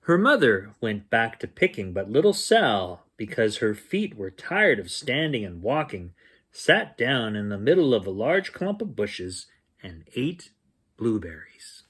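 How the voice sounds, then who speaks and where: A man reading a children's picture book aloud as an expressive bedtime storyteller. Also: medium pitch, unhurried, breathy edge. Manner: expressive, acts out sounds and dialogue. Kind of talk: animated bedtime story reading with playful asides.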